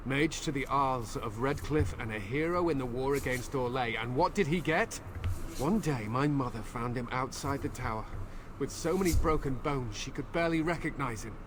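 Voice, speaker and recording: A man's voice: mid-range voice, background noise, lightly acted. An actor playing an anxious, bitter middle-aged village man pleading earnestly for his daughter in a video game. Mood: bitter, grieving. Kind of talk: video game dialogue acting.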